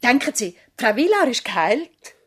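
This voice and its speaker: An actress with a Swiss German accent playing a loyal, chatty doctor's assistant in a 1970s radio play, reporting in an eager, matter-of-fact way. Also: female voice, a little high, big pitch swings, moderate pace, husky. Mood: eager, admiring.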